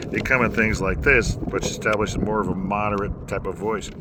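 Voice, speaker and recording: A man with a low voice teaching singing in a folksy, rambling way, with many fillers and restarts. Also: fairly even intonation, moderate pace. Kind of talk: casual instructional talk. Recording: noisy background.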